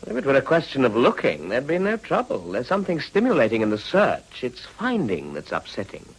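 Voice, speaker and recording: Jaded, witty upper-class gentleman for period drama, wry and languid, with a wide expressive swing. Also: mid-range voice, brisk, breathy edge, exaggerated voice, poor audio. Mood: wry, melancholy.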